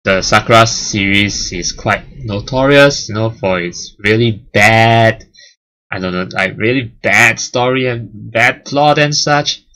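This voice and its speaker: Deep-voiced man rambling with fillers. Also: takes it slowly, pushed and bright. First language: English and Chinese. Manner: rambling, many fillers and restarts. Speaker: channel host. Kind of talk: casual milestone chat.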